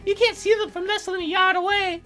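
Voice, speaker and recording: Dainty fairy girl, very high voice, bright, haughty and earnest, visual novel game character. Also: fairly even intonation, brisk, soft background music. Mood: earnest, matter-of-fact. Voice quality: high, girlish put-on voice.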